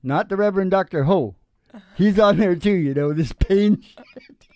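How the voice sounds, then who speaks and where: A man's voice: sits high, measured pace, dark tone, no room sound. An older man making teasing, folksy stage banter as a musician hosting a show, speaking into a stage microphone. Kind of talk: casual joking stage banter. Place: small curtained indoor stage. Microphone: stage microphone on a stand. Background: acoustic guitar.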